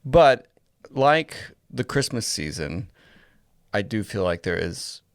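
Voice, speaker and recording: A man's voice: on the low side, very animated, takes it slowly, no echo, clean studio sound. A man co-hosting a podcast with casual, jokey and agreeable banter. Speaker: podcast co-host.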